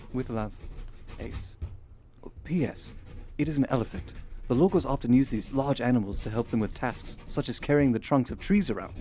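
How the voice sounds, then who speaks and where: Man playing affectionate Victorian elder brother, mid-pitched, dark mellow tone, formal radio drama letters. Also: lightly acted. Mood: apologetic, fond. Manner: formal, polite, measured period diction. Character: courteous, affectionate Victorian older brother living abroad.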